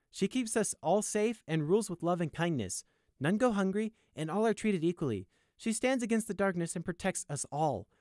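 An earnest, disapproving pony royal guard acted by a man in an audiobook story. The voice sits high and moves with animated, assured conviction, lecturing as it goes. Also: no room sound, clean studio sound. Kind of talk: audiobook character acting.

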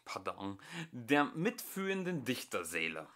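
A man voicing a nervous young man who flatters eagerly and hesitates. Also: mid-range voice, a little slow.

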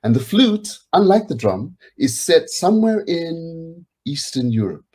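A man's voice: fairly high pitch, sing-song, unhurried, mellow, breathy edge. A middle-aged man reading picture books aloud to children, chatting casually and encouraging them to join in. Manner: encouraging, inviting listeners to join in. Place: indoors, small home room.

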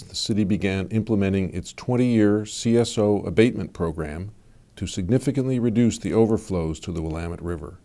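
A man giving measured, scripted voice-over narration for an explanatory video. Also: fairly low pitch. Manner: measured, even informational delivery.

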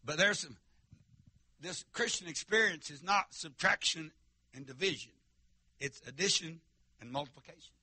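A man preaches to his church in a fiery holiness style. He stammers and restarts, then calls out to the congregation. His voice is a little high and sharply bright, recorded dry and close. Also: animated, normal speed, pauses often, husky, dry recording. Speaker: pastor. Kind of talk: sermon. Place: church sanctuary.

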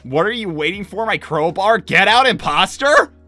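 A woman's voice: medium pitch, lively intonation, normal speed, bright, forceful tone, faint music behind. A woman voicing a laid-back young male cartoon hedgehog hero, chatting in a casual, hesitant, rambling way on an in-character livestream. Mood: dismissive, reassuring. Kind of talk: improvised in-character livestream chat.

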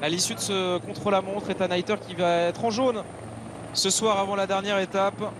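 A man giving live cycling race commentary in halting phrases, often repeating words. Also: high voice, flat delivery. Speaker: sports commentator. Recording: clear helicopter and vehicle noise.

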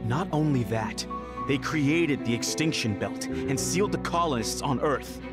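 An actor voicing a stern, fanatical elder brother in a video game, commanding and condescending in dramatic delivery. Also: male voice, mid-range voice, lively intonation, unhurried, close to an everyday voice. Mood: grim, lecturing. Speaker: villain. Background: dramatic background music.

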